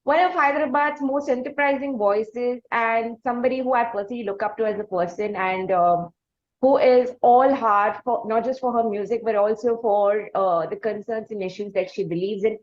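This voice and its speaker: High-pitched Indian woman hosting, slightly muffled. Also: normal speed. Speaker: podcast host interviewing a musician. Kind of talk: conversational podcast interview.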